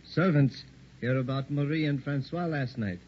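A man's voice: a little high, moderate pace, slight background noise, lightly acted. A man playing a devoted, fearful castle servant who speaks broken English in an old radio horror play. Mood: grave. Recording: dull lo-fi old radio recording.

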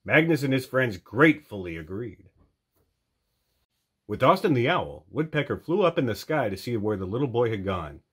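Animated, husky male storytime reader. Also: medium pitch, normal speed. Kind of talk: animated children's storybook narration.

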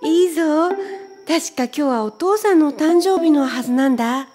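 A woman's voice: high-pitched, normal speed, a little mellow, faint music behind, voice pushed up, close to an everyday voice. A woman voicing a polite, eager young boy adventurer in a children's storybook. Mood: cheerful, eager. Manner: boyish, courteous, earnest.

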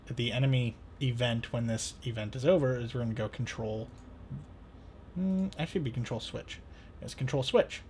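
A young adult man hosting a casual software tutorial, thinking aloud in an informal, playful, rambling way. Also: mid-range voice. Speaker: tutorial host. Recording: clear background noise.

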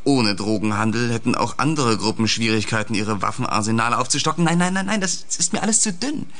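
An actor in a German radio play playing a commanding investigation chief, curt and authoritative. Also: male voice, mid-range voice, lively intonation, quick pace, a bit bright. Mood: sceptical.

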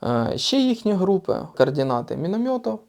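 Ukrainian soldier, man, warm low-key delivery, swears and hesitates. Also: very animated, creaky. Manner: casual, profane, halting with fillers. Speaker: soldier recounting an operation. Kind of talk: interview recollection.

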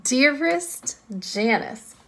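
A woman plays a bossy, scheming young schoolgirl in a storybook. The voice is high and bright, with dramatic stretched words and big swoops of theatrical confidence. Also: expressive, gravelly, heavily acted. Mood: moony, theatrical. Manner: dramatic, drawn-out, mock-romantic when dictating.

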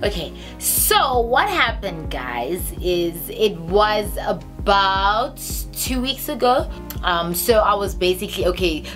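A woman's voice: medium pitch, a bit bright. South African woman telling stories over music. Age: 20s. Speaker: storytime vlogger. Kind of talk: casual storytelling to camera, reading parts aloud from a phone. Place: small bedroom, indoors. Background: background music.

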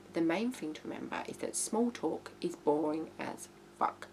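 A woman's voice: low-pitched, expressive, moderate pace, dark tone. A woman in her thirties giving blunt, emphatic writing advice in an informal video from a living room. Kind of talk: informal video tutorial, talking to camera. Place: small living room indoors. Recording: hum and background noise.

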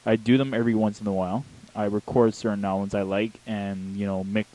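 Young man, very mellow and relaxed tone, lowish pitch, halting casual vlog delivery, close handheld mic. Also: poor audio. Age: young adult. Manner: halting, self-correcting delivery. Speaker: talk show host. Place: small home bedroom, indoors. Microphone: handheld wireless microphone held close to the mouth.

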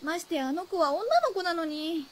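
A woman playing a bossy but caring teenage girl who mothers her companions in a Japanese radio drama, brisk and scolding. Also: extremely high pitch. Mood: scolding, exasperated.

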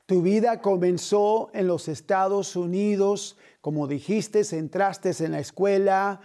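Middle-aged male TV host, slow and earnest, mellow voice, studio setting. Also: sits high, fairly even intonation. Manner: earnest, measured, with gentle hand gestures.

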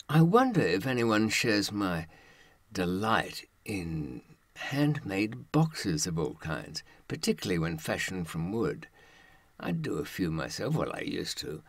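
Husky older man, animated low voice. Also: dry recording. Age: 70s. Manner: musing, halting, rhetorical questions. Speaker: presenter. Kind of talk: reflective monologue to viewers.

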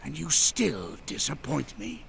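An actor playing a pompous, irritable mad scientist in a video game, theatrical and scornful. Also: male voice, mid-range voice, big pitch swings, measured pace, gravelly, slight background noise, heavily acted. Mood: exasperated. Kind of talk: video game cutscene acting. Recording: loud music over the dialogue.

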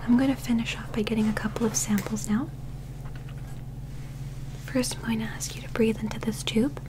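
A young woman doing a soft-spoken ASMR medical roleplay in a breathy whisper, gentle, soothing and reassuring, close to the microphone. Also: a little high, fairly even intonation, moderate pace, noisy background. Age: young adult.

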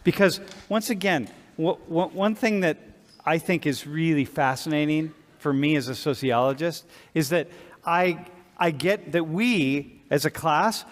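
An older man, a sociology professor, leading a class discussion in a large lecture hall, animated and restless. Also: on the high side. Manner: animated, restless, gestures while pacing. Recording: long hall reverb.

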